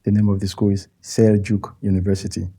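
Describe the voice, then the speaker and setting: A Nigerian man whose first language is Yoruba, speaking as an interview guest in a thoughtful, halting way with frequent soft laughs. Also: on the low side, monotone, breathy edge. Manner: thoughtful, halting, chuckles often. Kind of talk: interview conversation.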